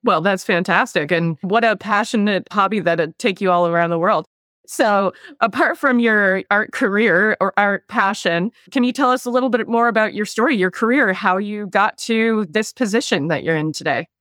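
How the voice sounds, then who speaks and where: A woman's voice: medium pitch, normal speed, fairly bright tone, dry recording, studio quality. Upbeat woman co-hosting a podcast. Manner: upbeat, encouraging questions. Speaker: podcast co-host. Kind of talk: interview conversation.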